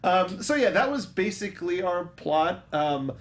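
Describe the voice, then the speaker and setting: A man's voice: high voice, lively intonation, unhurried, noisy background. A man co-hosting a movie review show in casual banter, with a sarcastic, jokey manner. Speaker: podcast co-host.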